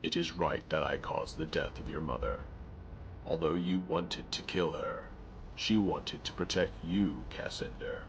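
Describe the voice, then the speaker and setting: A man voicing a fallen former god-emperor in a game mod, calm and resigned, speaking in measured, solemn phrases. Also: a bass voice, a little hiss or hum. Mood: confessing. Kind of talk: fan voice acting for a game mod.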